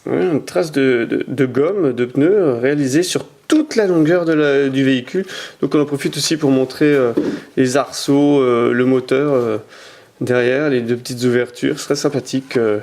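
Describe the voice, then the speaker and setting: A man at home chatting to a camera while he shows off toy cars, his talk halting and packed with fillers and repeated words. He turns each item toward the lens as he goes, sometimes drifting a little away from the mic. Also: mid-range voice, a little mellow. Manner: hesitant, many "euh" fillers and repeated words. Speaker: toy car collector reviewing his collection. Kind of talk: casual unboxing commentary.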